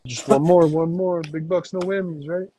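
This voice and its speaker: A man's voice: on the high side, steady tone, moderate pace, crowd noise, rough recording. A man in casual livestream chat as a departing guest, laid-back and swearing freely.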